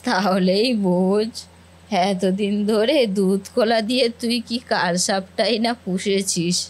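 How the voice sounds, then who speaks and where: A woman's voice: medium pitch, steady tone, slow pace. A woman voicing a scheming, meddlesome aunt in a cartoon family melodrama, talking in an insinuating, goading way. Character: scheming meddlesome aunt poisoning a nephew's ears.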